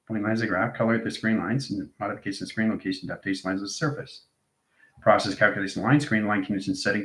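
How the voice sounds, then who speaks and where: A man's voice: on the low side, a bit bright. A man giving an improvised esoteric lesson as an instructor, chanting out strings of technical-sounding phrases in an incantatory way. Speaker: instructor, channel presenter. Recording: echoey, reverberant room sound.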